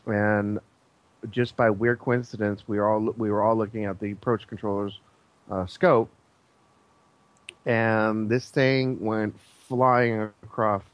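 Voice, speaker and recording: Male guest storyteller, lowish pitch, hesitant and halting, recorded dry. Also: fairly even intonation, clean tone, dry recording, lo-fi sound. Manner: halting, hesitant, searching for words. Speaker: guest, air traffic controller. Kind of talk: casual storytelling.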